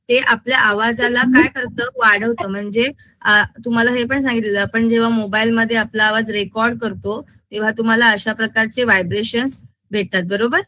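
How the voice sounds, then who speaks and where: Bright, high-pitched Maharashtrian woman teaching. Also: no echo, rough recording. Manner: explanatory, repeats rhetorical check questions. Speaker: school science teacher. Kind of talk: interactive classroom lecture mixing Marathi and English terms.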